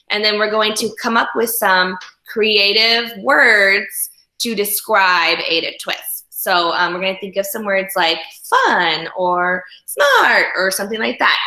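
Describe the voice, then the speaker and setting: A woman reading a storybook aloud to young children in an expressive, teacherly way, with instructive asides to her listeners. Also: mid-range voice. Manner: animated, instructional, talks directly to young listeners. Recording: echoey room.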